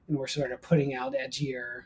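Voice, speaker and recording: Husky, mellow American man telling stories. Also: medium pitch, little pitch movement, a little fast, poor audio. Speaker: guest, professor of ancient Christianity. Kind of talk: casual interview storytelling.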